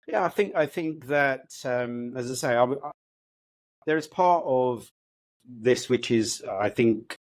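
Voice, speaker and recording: A man's voice: mid-range voice, animated, slow, no room sound. A man in his fifties, raised in the UK, talking as a podcast guest in an upbeat, reflective way, with many hesitations and restarts. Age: 50s. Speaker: guest, housing organisation chief executive. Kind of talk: conversational podcast interview.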